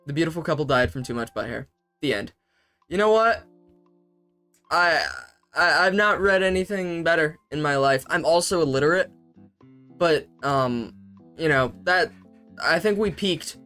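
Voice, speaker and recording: A man's voice: on the high side, fairly bright tone, smooth. Sarcastic young man reading fanfiction aloud. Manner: sarcastic, breaks into laughter while reading. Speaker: host.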